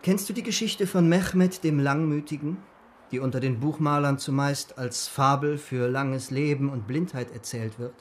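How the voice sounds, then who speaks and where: An actor playing an Ottoman miniature painter in a radio drama, telling fables in a deliberate, formal way with drawn-out emphasis. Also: medium pitch, a little hiss or hum, close to an everyday voice. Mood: measured storytelling. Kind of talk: literary radio drama storytelling. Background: music.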